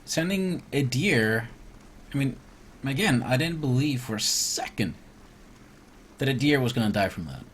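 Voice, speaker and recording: A man from Iceland doing casual live gameplay commentary, reading game text aloud and thinking out loud as he mutters to himself. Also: mid-range voice, expressive, a little slow, long pauses, slight background noise. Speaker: video game streamer.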